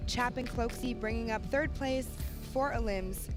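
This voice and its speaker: A young woman calling play-by-play at an esports tournament, steady and explanatory. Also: on the high side, unhurried, over music. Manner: steady, explanatory, with frequent fillers. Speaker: esports caster. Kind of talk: play-by-play commentary.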